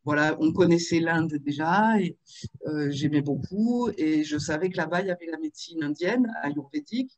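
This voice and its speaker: A woman in her fifties from Marseille, telling her life story in a video-call interview, with frequent pauses and fillers. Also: low-pitched, a little hiss or hum. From Marseille, France. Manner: hesitant, with many fillers and restarts. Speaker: author and interview guest.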